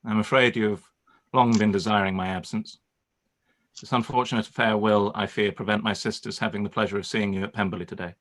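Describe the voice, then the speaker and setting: A man acting a reserved, concerned gentleman in a period radio play, speaking formally and earnestly. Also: on the low side, fairly even intonation, fairly quick, natural voice. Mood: grave, restrained.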